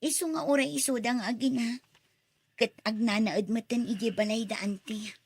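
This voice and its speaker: An actress playing an emotional, earnest wife in a radio drama, speaking with an Ilocano accent. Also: female voice, fairly high pitch, fairly even intonation, moderate pace, breathy edge, no echo. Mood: subdued, reflective.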